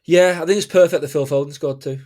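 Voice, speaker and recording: A man's voice: a little high, fast talker. A man with a Manchester accent hosting a football podcast, chatting casually and laughing through his stories. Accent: Mancunian. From Manchester, England. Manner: animated, laughs while telling stories. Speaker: podcast host. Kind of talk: casual chat.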